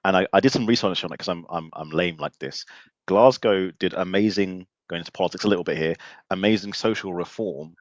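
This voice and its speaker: A man's voice: low voice, brisk, no room sound, highs cut off. A man of about forty hosting a podcast, asking jokey questions in a rambling casual way and saying "like" a lot. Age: around 40. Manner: jokey, rambling, says "like" often. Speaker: podcast host. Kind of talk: casual interview chat.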